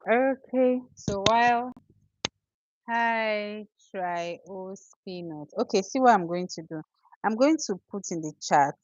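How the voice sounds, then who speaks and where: Nigerian lady doing a live q&a, low voice, chatty, lots of okays, kinda dull dry audio. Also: no echo. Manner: chatty, answers viewers' questions, frequent restarts and okays. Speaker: livestream host. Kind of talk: casual Q and A livestream.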